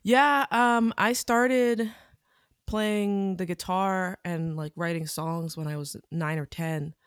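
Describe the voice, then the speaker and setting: A woman in her thirties, a musician guest on a podcast, talking in a laid-back way with soft laughs and many ums. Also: lowish voice, fairly even intonation, takes it slowly, smooth, dry recording, studio quality. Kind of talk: casual interview chat.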